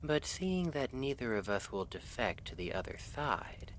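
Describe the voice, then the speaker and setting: A man playing an earnest, lovestruck assassin from a noble house in an ASMR roleplay, speaking in measured, formal, hesitant phrases. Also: medium pitch, very animated, slight background noise, poor audio. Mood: earnest, cautious.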